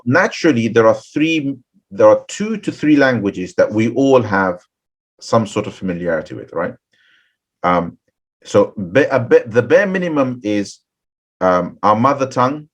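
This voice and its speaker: Middle-aged guy giving an online lecture, kinda low raspy voice, keeps saying "right?". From Bengali background, seminary-trained. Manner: earnest, repeats and restarts phrases, asks "right?". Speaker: Islamic scholar giving a webinar. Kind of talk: advice lecture to seminary graduates. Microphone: webinar, computer mic.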